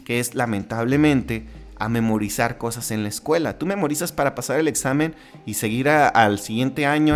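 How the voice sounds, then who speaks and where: A man with a Mexican accent hosting a solo podcast in a casual, conversational way, with frequent restarts and tag questions. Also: medium pitch. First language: Spanish. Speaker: podcast host.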